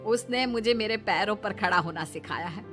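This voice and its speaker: A woman's voice: medium pitch, normal speed, a bit bright, lightly acted. A woman voicing a grateful older mother-in-law in a moral story cartoon, respectful and earnest. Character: older mother-in-law, grateful and warm toward her daughter-in-law. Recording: background music.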